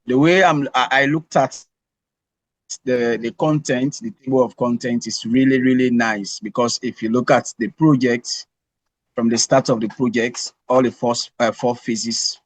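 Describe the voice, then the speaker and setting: A man's voice: medium pitch, steady tone, unhurried, dry recording, rough recording. A man, a course participant, speaking earnestly on a video call and repeating words as he thinks. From Sierra Leone.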